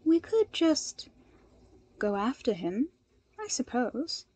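A woman voicing a composed, polite young woman hero with a measured, gently dry manner in a story reading. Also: high voice, very animated, slightly rough, exaggerated voice. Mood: thoughtful.

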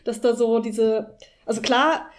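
A woman's voice: fairly high pitch, fairly even intonation, measured pace. A woman hosting a podcast, discussing analytically in a casual way with informal, colloquial phrasing. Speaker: podcast host.

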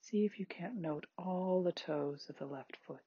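Very husky, breathy meditation guide, sex-ambiguous voice. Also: mid-range voice, dry recording, lo-fi sound. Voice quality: very husky, breathy, at times near-whispered. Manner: gentle, unhurried, with spaced short phrases. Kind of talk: guided body scan meditation, soothing instruction.

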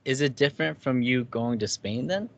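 A man's voice: medium pitch, normal speed, vocal fry, no room sound, muffled recording. A young man hosting a podcast from home, chatting casually and asking curious questions, with now and then a casual curse. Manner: relaxed, curious, swears casually. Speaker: podcast host. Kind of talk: casual video-call chat. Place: home gaming setup, indoors. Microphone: headset with desk mic.

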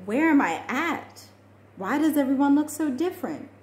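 A woman's voice: high voice, sing-song, moderate pace, a little mellow, pitched above the performer's own voice. A woman voicing a curious, eager and confused young boy in a storybook. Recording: echoey room with faint noise.

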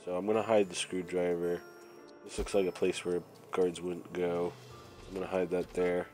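A man talks through a prison video game in a low, flat voice that stays close to one note. A cold has left him raspy and nasal. Quiet game music plays underneath. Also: moderate pace. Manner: relaxed, thinking aloud while playing. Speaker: gameplay commentator. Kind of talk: casual let's-play commentary. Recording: faint game music behind.

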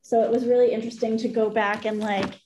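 Higher-voiced woman in a casual book chat. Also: little pitch movement, fast, darkish tone, slight background noise, poor audio. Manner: conversational, frequent "like". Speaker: book club co-host. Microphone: video call computer mic.

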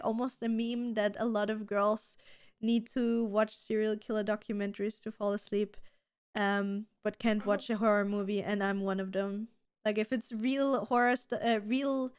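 A woman's voice: mid-range voice, smooth. A young woman, a native German speaker with a German accent, co-hosting a casual podcast chat and talking animatedly with lots of "like" fillers. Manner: chatty, animated, frequent "like" fillers. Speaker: podcast co-host. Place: gaming room with colorful LED lights.

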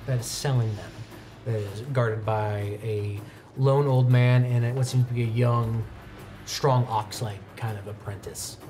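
A man in his twenties narrating a tabletop roleplaying game as game master, conversational and halting, pausing mid-sentence. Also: mid-range voice, fairly even intonation, warm tone, slight background noise. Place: indoor studio set at a game table. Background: background music.

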